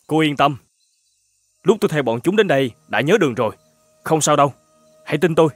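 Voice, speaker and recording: A man's voice: fairly high pitch, animated, a little fast, no echo, soft background music. A man voicing a gallant young rescuer in a fairy-tale cartoon, speaking in an earnest, reassuring way. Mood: tender, resolute.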